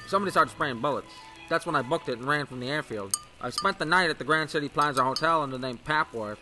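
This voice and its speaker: An actor playing a cocky, charismatic bootlegger in an old-time radio drama, with brash, slangy talk. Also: male voice, fairly high pitch, quick pace, music in the background, close to an everyday voice. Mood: recounting. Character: cocky charismatic male bootleg smuggler and pilot. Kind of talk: 1930s radio drama acting.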